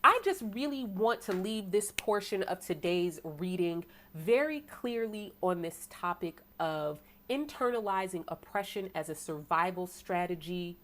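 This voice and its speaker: Casting: American woman, tarot reader, deep voice, preaching tone, rhetorical questions, quick bursts. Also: animated, a bit bright, slight background noise. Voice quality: self-described deep voice. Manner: preachy, rhetorical, asks "right?" often, bursts of fast talk. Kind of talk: tarot sermon to an online audience.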